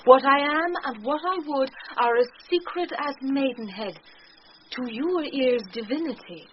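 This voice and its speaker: An actress with a British accent playing a bold, witty young messenger disguised as a youth in a Shakespearean radio drama, with theatrical, declamatory delivery. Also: female voice, high-pitched, animated, a little slow. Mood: earnest, secretive. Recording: dull, noisy old radio drama recording.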